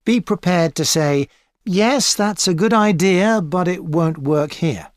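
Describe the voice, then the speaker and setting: An actress playing an experienced tutor advising a student in a scripted exam dialogue, calm and measured. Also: female voice, fairly low pitch, no room sound. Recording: dry studio recording.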